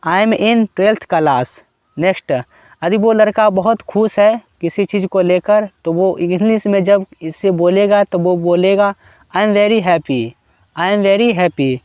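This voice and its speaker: A man with an Indian accent teaching basic spoken English in Hindi, slowing right down and repeating each model sentence twice. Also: high-pitched, darkish tone, smooth, no echo, clean studio sound.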